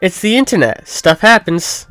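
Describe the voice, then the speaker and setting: A man voicing a restless, blunt young female cat in a game playthrough, lifting his voice higher for her. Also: big pitch swings, moderate pace, a bit bright, no room sound, soft background music. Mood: casual. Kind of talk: let's play voice acting.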